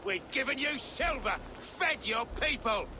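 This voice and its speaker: High-pitched, haughty, stubborn king. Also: expressive, measured pace. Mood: scornful. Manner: haughty, declamatory. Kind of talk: dramatic game cutscene acting. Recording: noisy outdoor ambience behind.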